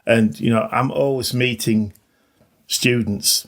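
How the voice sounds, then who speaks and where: A man, a veteran teacher reflecting informally in a halting, modest way. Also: mid-range voice, fairly even intonation, vocal fry. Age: 60s. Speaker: veteran maths teacher, podcast guest. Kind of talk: reflective, informal interview talk.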